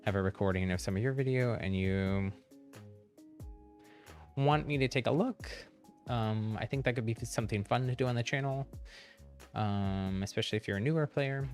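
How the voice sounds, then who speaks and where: A man's voice: on the low side, normal speed, long pauses, a little mellow, faint music behind. A man chatting casually on a game livestream in a rambling, easygoing way. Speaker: live streamer.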